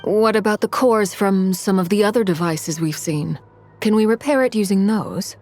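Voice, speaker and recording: A woman's voice: mid-range voice, normal speed, a little mellow, faint music behind, lightly acted. A woman playing a serious, resolute young woman in an anime game, speaking earnestly and formally. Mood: inquiring. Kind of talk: anime game dub acting.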